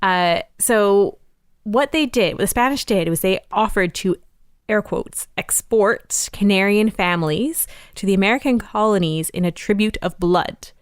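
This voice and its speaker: A woman's voice: medium pitch, a little slow, clean tone, no room sound, clean studio sound. A woman co-hosting a casual podcast, upbeat and explaining things at length with lots of "like". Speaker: podcast co-host.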